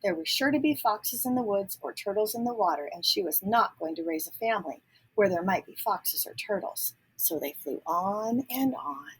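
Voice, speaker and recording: A woman reading a children's storybook aloud expressively, pausing to add simple explanatory asides. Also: mid-range voice, expressive, husky, poor audio. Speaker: storybook reader.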